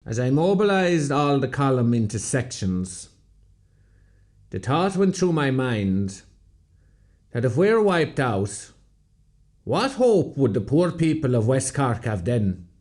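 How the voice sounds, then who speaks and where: A man's voice: medium pitch, very animated, slow pace, pauses often, darkish tone. An actor playing a solemn rebel commander, narrating his memories of battle reflectively in a radio play.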